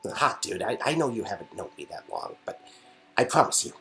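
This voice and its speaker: A man voicing a gentle, insecure former quarterback, reading the game's dialogue in a hesitant, earnest way. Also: on the low side, huge pitch swings, brisk, creaky, soft background music, full character voice. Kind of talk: reading game dialogue aloud.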